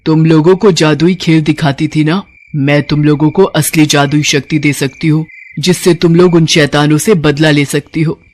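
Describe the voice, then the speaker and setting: A woman voicing a solemn, helpful female forest ghoul in an animated moral story, instructing as she goes. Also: low voice, normal speed, natural voice. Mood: solemn granting.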